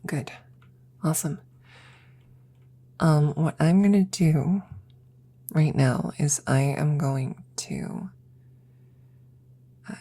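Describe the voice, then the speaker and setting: A woman performs a tired, caring woman scientist in an intimate audio roleplay. Her voice is dark, husky and slow, often sinking toward a whisper. She speaks casually and hesitantly, with worried reassurance for her best friend. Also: low voice, full character voice. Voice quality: breathy, often dropping to a whisper.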